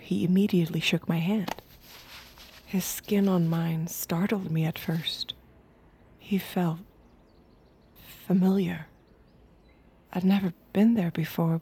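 A woman playing an obedient, observant android escort, speaking in a measured, intimate way in an audio drama. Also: lowish voice, warm tone, a little hiss or hum. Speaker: narrator and lead character.

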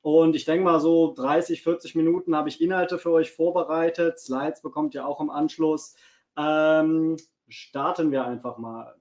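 A man's voice: fairly high pitch, steady tone, moderate pace, darkish tone. A man from Cologne with a slight Cologne tinge, giving a live webinar as a consultant, chatty with many fillers and repeated words. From Germany, Cologne. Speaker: webinar presenter, SEO consultant. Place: home office. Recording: muffled lo-fi webinar audio.

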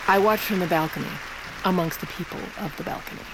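A woman's voice: fairly low pitch, fast talker, crowd noise, noisy background, natural voice. Actress as a commanding, manipulative First Lady. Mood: wry, dramatic. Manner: poised, persuasive, insistent. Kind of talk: audio drama acting with first-person narration.

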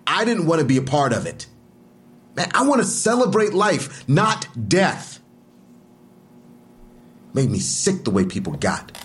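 An aggrieved, defiant man being questioned by police in an audio drama. His lowish voice moves widely in pitch, emphatic and colloquial, over a faint rumbling noise. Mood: indignant. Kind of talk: full-cast audio drama acting.

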